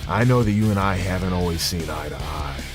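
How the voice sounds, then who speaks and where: A man's voice: sits low, fairly quick, loud music behind the voice, close to an everyday voice. A man voicing a blunt, conversational wrestling figure in a video game cutscene. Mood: earnest flattery.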